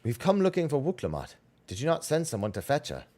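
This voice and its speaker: A man's voice: mid-range voice, wildly swooping pitch, fast, mellow, voice pushed up. A man reading out the lines of earnest, formal fantasy game adventurers in archaic phrasing. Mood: worried, urgent. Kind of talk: reading game dialogue aloud.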